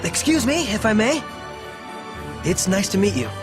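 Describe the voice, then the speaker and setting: A man's voice: high voice, very animated, moderate pace. A man acting an earnest, boyish young hero. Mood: earnest, hopeful. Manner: polite, sincere, casual. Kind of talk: dubbed fantasy game cutscene acting. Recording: orchestral music behind the voice.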